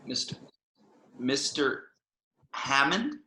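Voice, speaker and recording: Man as a bright-voiced, indignant scientist, roomy sound. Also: mid-range voice, sing-song, very slow, a little hiss or hum, heavily acted. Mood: flustered. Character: gruff, indignant male paleontologist, awkward with strangers. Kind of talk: table read of a film script. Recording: roomy, echoing home recording.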